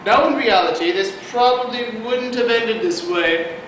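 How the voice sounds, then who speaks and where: A man's voice: very high voice, a little slow. A man narrating a student class project in a matter-of-fact, explanatory way. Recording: very roomy distant noisy home video.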